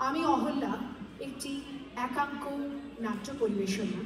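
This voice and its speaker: A woman announcer giving a formal, measured stage introduction at a community event. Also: a little high. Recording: echoey hall, distant mic, loud background noise.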